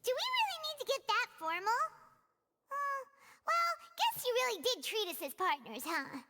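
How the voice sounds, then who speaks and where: A woman's voice: very high voice, big pitch swings, moderate pace, very noisy. A woman voicing a small, chirpy, eager fairy companion in an anime video game, talking like a child who names herself in the third person. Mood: doubtful. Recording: loud music behind the voice.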